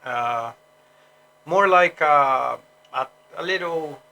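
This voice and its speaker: A man's voice: on the high side, extremely slow, vocal fry, pushed and bright, low-quality recording. A man from Brazil with a Brazilian Portuguese accent, an English teacher rambling casually on a solo stream with many fillers and restarts.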